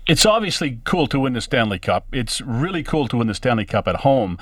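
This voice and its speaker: A man with a lively, varied intonation works as an interviewer. He keeps things casual and jokey as he puts his questions. The audio is very dry. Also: medium pitch, a little fast, no echo. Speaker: radio show host.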